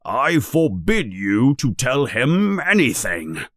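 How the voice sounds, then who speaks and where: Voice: medium pitch, very animated, takes it slowly, fairly bright tone, dry recording, studio quality, pitched above the performer's own voice, exaggerated voice. A man voicing a bossy, cowardly, blustering uncle in a storybook, indignant and commanding.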